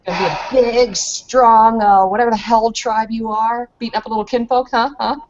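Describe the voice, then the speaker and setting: A woman's voice: a little high, steady tone, normal speed, muffled recording, pitched above the performer's own voice, lightly acted. A woman playing a cocky, taunting young woman in a tabletop roleplaying game. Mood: mocking, goading.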